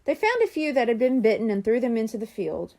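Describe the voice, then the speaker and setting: A woman narrating a children's storybook in an animated, scripted reading style. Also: fairly high pitch, fast. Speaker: audiobook reader.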